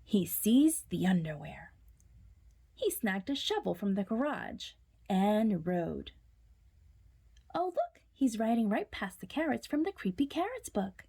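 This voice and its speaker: Female children's storyteller: slow, highly animated picture-book read-aloud, dramatic and suspenseful. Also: vocal fry, husky. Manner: dramatic, suspenseful, with hushed whispered words.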